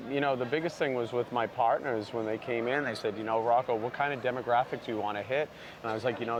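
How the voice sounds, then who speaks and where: Man, barber, earnest and rambling, middle pitch, loud background noise. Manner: earnest, rambling, many "you know" fillers. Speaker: barber and brand founder. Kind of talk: sit-down interview. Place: outdoors at a busy trade convention.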